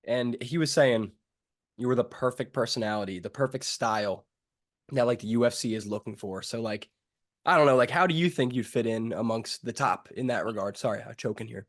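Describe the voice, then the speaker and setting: A man's voice: medium pitch, brisk. A man hosting a casual sports interview, asking informal, rambling questions full of slang. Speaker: sports show host interviewing a fighter.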